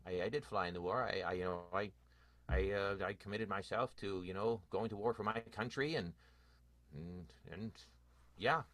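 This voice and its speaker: A man's voice: lowish voice, moderate pace, fairly bright tone, no room sound, lightly acted. A man acting in an improvised radio soap as a defensive vaudeville actor and former stunt flyer, hesitant and repeating himself. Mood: evasive, hesitant. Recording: music playing behind the voice.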